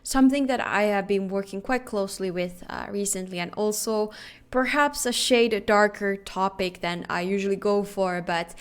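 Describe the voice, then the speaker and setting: A woman whose first language is Finnish gives a remote conference talk. Her voice is smooth and clear at a middle pitch, and she speaks earnestly, often pausing on fillers and restarting her sentences. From Finland.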